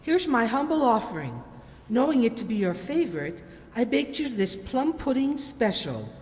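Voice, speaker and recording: A woman playing a bossy, brisk household cook in a children's radio play. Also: on the high side, sing-song, a little mellow. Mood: deferential, proud. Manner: brisk, commanding. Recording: reverberant hall recording.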